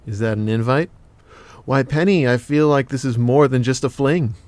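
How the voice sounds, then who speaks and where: An actor playing a cheeky, big-hearted casual lover in an audio drama, with blunt, slangy, joking talk. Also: male voice, mid-range voice, moderate pace, a little mellow, dry recording, natural voice. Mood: flirty.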